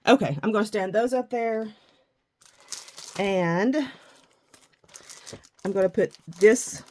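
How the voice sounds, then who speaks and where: A middle-aged woman with a husky morning voice, chatting casually and self-deprecatingly about her crafting supplies. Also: medium pitch, slow pace, slight background noise. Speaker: scrapbooking vlogger.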